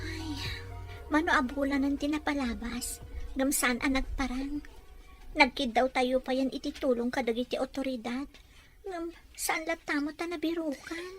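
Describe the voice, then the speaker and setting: Woman acting a high-voiced, chatty concerned neighbour. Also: fairly even intonation, normal speed, faint music behind, natural voice. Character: gossipy, concerned female neighbour of the family. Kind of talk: Ilocano audio drama acting.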